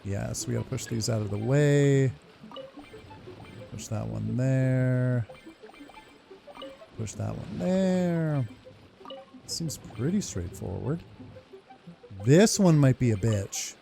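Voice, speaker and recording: A man hosting a gaming video in casual, sweary commentary. His voice is gravelly and swings up and down in pitch as he gets excited. Music plays behind him. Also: slow, long pauses. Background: game music.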